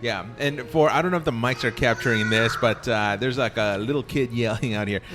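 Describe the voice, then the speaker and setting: A man's voice: mid-range voice, brisk. A young man from Southern California hosting a podcast, easygoing and rambling, recording in a cafe. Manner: easygoing, laughs often, rambling. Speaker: podcast host. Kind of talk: casual interview chat. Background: faint cafe music.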